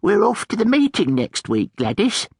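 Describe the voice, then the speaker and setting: A man voicing a fussy, excitable old hag of a witch with a shrill, exclaiming voice. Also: moderate pace, slightly rough, dry recording, studio quality, exaggerated voice. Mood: fretful.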